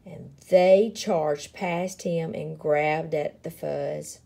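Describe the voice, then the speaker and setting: Woman story reader, lowish voice, mellow, animated swoops, deliberate dramatic phrasing, playful emphasis. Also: vocal fry. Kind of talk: dramatic children's picture-book read-aloud.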